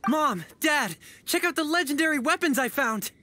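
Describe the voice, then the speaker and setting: A woman voicing a naive, excitable youngster eager for adventure in a video game, earnest and expressive. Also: high-pitched, moderate pace, slight background noise. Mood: thrilled. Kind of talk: English video game voice acting.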